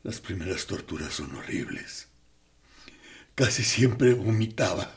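An actor in a radio drama playing a tormented torturer captain, confessing with anguish and swinging from hushed to loud. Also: male voice, low-pitched, big pitch swings, unhurried, husky, heavily acted. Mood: anguished confession.

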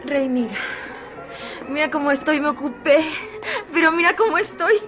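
A woman's voice: high voice, moderate pace, over music, close to an everyday voice. An actress playing a feverish, drugged young woman who pleads frantically and breathlessly in a radio drama. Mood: pleading.

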